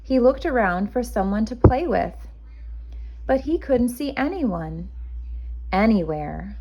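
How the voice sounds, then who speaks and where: A woman reading a children's storybook aloud as a kindergarten teacher, expressive and measured for young listeners. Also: fairly high pitch, highs cut off.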